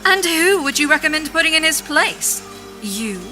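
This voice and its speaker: Stern, doubting witch, high and extremely sharp-edged, light music behind. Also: lively intonation, moderate pace. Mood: stern, worried. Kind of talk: audio drama acting.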